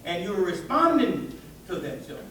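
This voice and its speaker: A man preaching an animated, folksy sermon to listeners, tossing out rhetorical questions and colloquial asides. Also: sits high, measured pace, a little hiss or hum. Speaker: preacher giving a public religious address. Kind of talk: animated sermon with call-and-response to a live audience. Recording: echoey hall, distant microphone.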